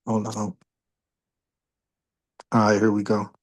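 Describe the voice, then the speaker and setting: A man's voice: lowish voice, completely flat delivery, takes it slowly. A man in his forties hosting an astrology livestream, talking casually and bluntly, with lots of profanity, and getting worked up when he rants. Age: 40s.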